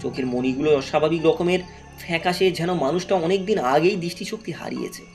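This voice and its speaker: A man's voice: fairly high pitch, brisk, faint music behind. A man narrating a horror story in a dramatic, scripted storytelling style.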